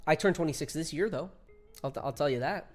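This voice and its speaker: A man's voice: on the high side, fast talker, faint music behind. A man in his twenties chatting casually with viewers while streaming a game, laughing often and reading chat aloud. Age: 20s.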